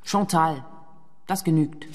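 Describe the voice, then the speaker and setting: A woman's voice: low voice, slow. An actress playing a curt, wary young woman in a radio play. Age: young adult. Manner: curt, guarded. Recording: long echo of a stairwell.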